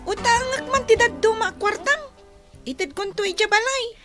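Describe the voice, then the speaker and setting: A woman's voice: very high-pitched, normal speed, a bit bright, faint music behind. A woman playing a pouty, demanding Ilocano schoolgirl in a comedy radio drama, with a whiny delivery. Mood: pouting, annoyed. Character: Mona, pouty spendthrift schoolgirl wheedling for money.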